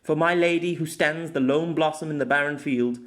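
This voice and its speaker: Haughty high-pitched young noble lady, voiced by a man. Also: moderate pace, a bit bright, natural voice. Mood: dramatic reading. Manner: theatrical, accusing.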